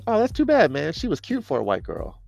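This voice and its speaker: Male narrator as clueless chummy office guy for audio comedy; pitched high, pushy, slangy, overfamiliar. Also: huge pitch swings, a little fast, creaky, darkish tone, dull audio, faint music behind, full character voice. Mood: breezy, overfamiliar. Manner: overfamiliar, rambling, peppering talk with bro-style slang. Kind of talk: comic audio fiction dialogue.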